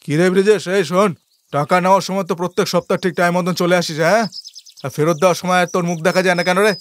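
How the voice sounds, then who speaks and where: A man's voice: high voice, steady tone, natural voice. Sneering village moneylender played by a man. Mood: mocking anger. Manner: taunting, sarcastic, drawn-out jeering questions. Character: nagging, mocking village landlord moneylender, a bullying man. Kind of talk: cartoon villain acting.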